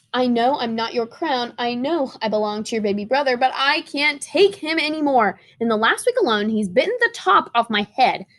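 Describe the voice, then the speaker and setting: Woman voicing a worn-out crayon who's had enough, whiny and high. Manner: exasperated, complaining. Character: desperate, fed-up toddler's crayon.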